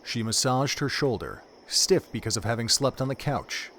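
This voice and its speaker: A man giving scripted story narration for an audio drama in a measured, even way. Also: mid-range voice, slight background noise.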